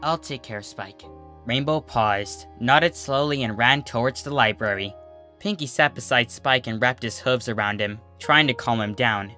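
A narrator reading a scripted comic story in an expressive way. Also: medium pitch, moderate pace, faint music behind. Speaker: narrator, fan fiction reader.

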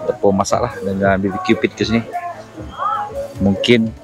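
A man's voice: on the low side, flat delivery, normal speed, music in the background, rough recording. A man narrating a casual camping vlog, informally mixing in English words. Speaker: camping vlogger.

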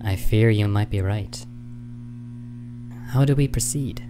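A man playing a wary peacekeeper imp in a radio play, with a dry, formal, measured delivery. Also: fairly low pitch, background noise. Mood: calm, measured. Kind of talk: short radio play acting. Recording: music behind the voice.

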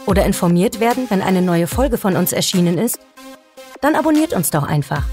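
A woman's voice: a little high, darkish tone. A woman reading a scripted podcast promo as an announcer.

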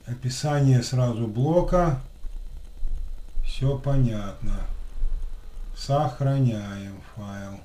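A man in his thirties with a lisp, giving casual, unhurried hands-on commentary as he works at a desk. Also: mid-range voice, warm tone. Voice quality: lisping after dental work. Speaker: electronics repair technician and video host. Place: small room at a desk, indoors. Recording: roomy echo with clear background noise.

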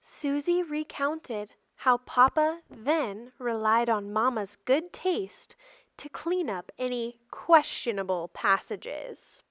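A woman reading a children's picture book aloud with careful, expressive storytelling delivery. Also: fairly high pitch, slow pace, no room sound. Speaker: storybook reader.

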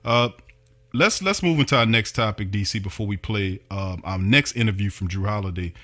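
A man's voice: fairly low pitch, fairly quick, pushed and bright, dry recording. A man hosting a sports podcast, reading off game stats in an upbeat recap. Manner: reads off stats, upbeat hype.

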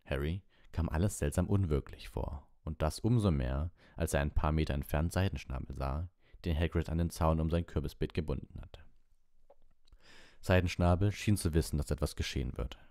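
A man's voice: extremely low pitch, moderate pace, warm tone, dry recording, studio quality. A man reading a story aloud as scripted audiobook narration. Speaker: audiobook reader.

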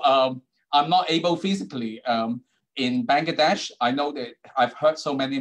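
A man's voice: mid-range voice, low-quality recording. A middle-aged man with a Hong Kong accent, whose first languages are Cantonese and Putonghua, giving an online keynote talk over a video call, with many ums and uhs. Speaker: keynote speaker, university dean.

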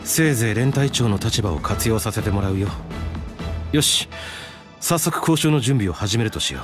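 A man voicing a composed, courteous young military commander in a Japanese video game, speaking in a measured, earnest way. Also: lowish voice, expressive, music in the background, lightly acted. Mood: calm, resolute. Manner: measured, earnest, gently formal.

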